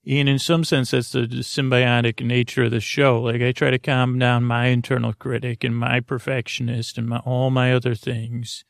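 A male podcast host rambles through a bedtime monologue in a gravelly, creaky voice at an ordinary male pitch. He meanders, halts and restarts his thoughts mid-sentence. The recording is very dry, with no room sound around the voice. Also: flat delivery, dry recording. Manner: meandering, halting, self-interrupting.

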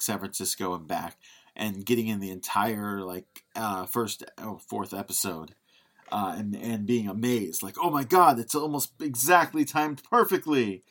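A man's voice: lowish voice, moderate pace, fairly bright tone. A man co-hosting a podcast in a casual, conversational style. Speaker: podcast co-host. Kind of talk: casual chat.

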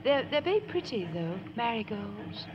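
Prim lady with swooping pitch. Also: very animated, moderate pace. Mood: anxious. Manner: proper, genteel, earnest. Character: prim, devoted, brave middle-aged spinster lady. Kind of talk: 1950s radio drama acting. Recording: dull old radio recording with music.

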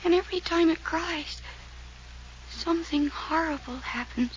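A woman's voice: very high-pitched, a little slow. A woman playing a frightened, defensive young woman in a 1940s radio mystery. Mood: frantic, insistent. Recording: noisy muffled old radio drama recording.

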